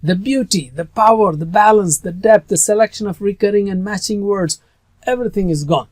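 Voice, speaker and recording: A man's voice: sits high, brisk, darkish tone, no room sound. A man whose first language is Urdu, teaching a recorded lesson on Quranic Arabic in an earnest, emphatic way that stresses key words.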